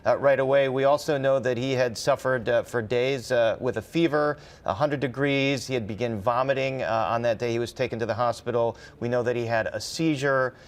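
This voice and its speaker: American man giving halting news analysis. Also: medium pitch, steady tone. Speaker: reporter. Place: TV studio.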